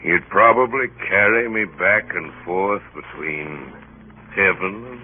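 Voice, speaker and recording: An actor playing an imposing, mocking ship passenger in a theatrical old radio drama, grandiloquent and deliberate. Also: male voice, low-pitched, slight background noise. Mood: taunting. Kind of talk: 1940s radio acting. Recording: tinny old radio broadcast, faint music.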